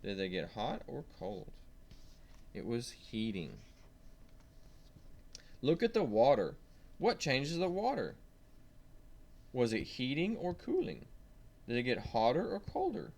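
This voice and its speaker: A man's voice: medium pitch, huge pitch swings, slow, creaky, pauses often, a little mellow. A man reading a science lesson aloud to young children, stressing key words and asking simple questions as he goes. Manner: simple questions and prompts to the listener.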